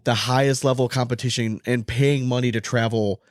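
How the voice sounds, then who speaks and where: A man's voice: mid-range voice, steady tone, moderate pace, dry recording, studio quality. A man hosting a casual sports podcast, talking emphatically and opinionatedly and swearing freely. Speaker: podcast host.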